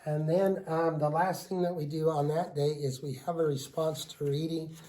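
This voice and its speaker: A man with a raspy voice from a lingering cold, walking through a reading lesson as a teacher, steady and explanatory with frequent ums. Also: on the high side, normal speed, low-quality recording. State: hoarse from a cold. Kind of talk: recorded lesson walkthrough.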